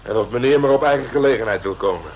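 An actor playing a cocky, hard-boiled police inspector in a radio drama, bossy and colloquial, with a self-satisfied way of talking. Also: male voice, medium pitch, fast talker, dull audio, slight background noise, lightly acted. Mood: smug, gloating. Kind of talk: Dutch radio drama acting.

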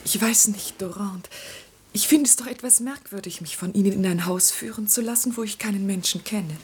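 A woman playing an elegant, reserved widow in a 1960s German radio play, refined, measured and breathy. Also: medium pitch, slight background noise, close to an everyday voice. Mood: coy reproach. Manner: refined, measured, gently hesitant. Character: elegant, reserved widowed lady being courted.

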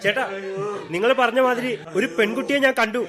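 An actor playing a young bystander who runs up to point the way in a radio play. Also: male voice, very high-pitched, fairly even intonation. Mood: urgent. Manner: breathless, hurried.

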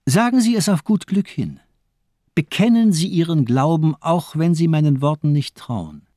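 A man's voice: fairly high pitch, animated, moderate pace, mellow, no room sound, studio quality, close to an everyday voice. A man acting in a radio drama as a fanatical, mocking doctor, declaiming with insistent, rhetorical force. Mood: cold fervour. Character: fanatical, mocking villainous male doctor, zealously demanding.

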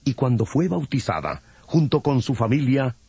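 A man's voice: medium pitch, very animated, normal speed, creaky, warm tone. A man narrating a dramatized Bible from a script. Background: music.

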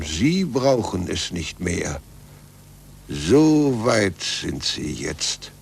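An actor playing a stern, zealous churchman in a 1940s German radio drama, declamatory and deliberate, with a gravelly voice. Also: deep voice, full character voice. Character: stern, zealous old churchman, a cardinal inquisitor. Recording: noisy 1940s radio recording with hum.

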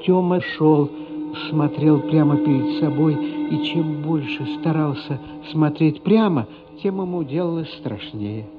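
A man with a slightly high voice narrates a radio drama. He reads slowly, with measured suspense and dramatic weight. The recording is somewhat dull, with faint music behind him. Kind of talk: dramatic scripted narration of a literary radio play. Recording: dull, band-limited radio play recording.

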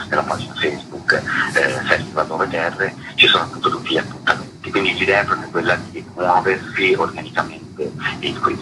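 A man's voice: a bass voice, very noisy. A man being interviewed on the radio as a festival director, explaining in a hesitant way and repeating words as he goes. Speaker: festival artistic director, guest. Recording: music playing loudly under the voice.

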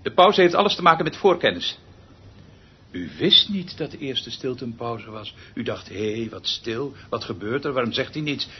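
An actor playing a pompous, eccentric male orchestra conductor in a radio drama, theatrical and pedantic, speaking deliberately with pregnant pauses. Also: mid-range voice, animated, highs cut off, a little hiss or hum, natural voice. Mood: lecturing, pedantic.

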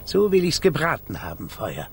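An actor playing a greedy, self-satisfied tramp who steals chickens, savouring words theatrically in a children's radio play. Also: male voice, a little high, huge pitch swings, moderate pace, a little hiss or hum. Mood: gloating. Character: gloating, greedy male chicken thief and tramp.

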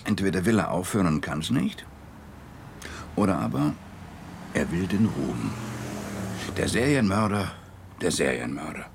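Gravelly, brooding police detective. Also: male voice, low voice, big pitch swings, a little slow, long pauses, background noise, full character voice. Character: grave, weary male police detective on a murder case. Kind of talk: radio drama acting in German.

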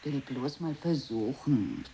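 A woman's voice: extremely low pitch, slow, mellow. An actress playing an obsessive, teasing woman, often whispering, in an experimental radio play. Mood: teasing, fascinated.

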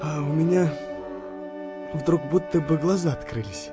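An actor playing a shell-shocked, brooding young British sailor in a wartime audio drama, speaking in halting, emotional monologue. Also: male voice, a little high, natural voice. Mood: anguished. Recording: music under the voice.

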